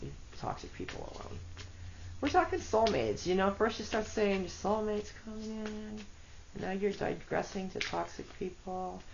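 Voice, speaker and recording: A woman with a congested, rough voice gives a chatty tarot reading, talking to her cards and her viewers. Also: mid-range voice, animated, moderate pace. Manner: chatty, self-deprecating, talks to cards and viewers. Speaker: tarot reader. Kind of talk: casual solo tarot reading. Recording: dull lo-fi audio with faint noise.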